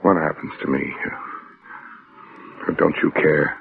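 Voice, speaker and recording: An actor in a 1960s radio suspense play, playing a desperate, bitter fugitive confessing to a murder. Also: male voice, very deep voice, steady tone, a little slow, vocal fry, narrow, tinny audio, full character voice. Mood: bitter, accusing. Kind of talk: 1960s radio suspense acting with dramatic narration. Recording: old band-limited radio drama, music behind.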